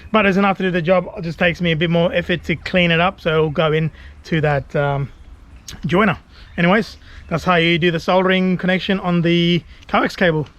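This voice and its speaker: A man's voice: high-pitched, fairly even intonation, fairly quick, fairly bright tone. A man giving a laid-back, self-deprecating hands-on DIY tutorial, chatting colloquially to his viewers. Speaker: vlogger presenting a DIY car install.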